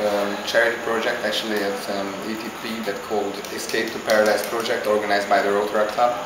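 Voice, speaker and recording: A man from Hungary, answering interview questions in a halting, casual way as he searches for words. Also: lowish voice, level tone, noisy background. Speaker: interviewee, visiting traveler. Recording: very echoey with clear background ambience.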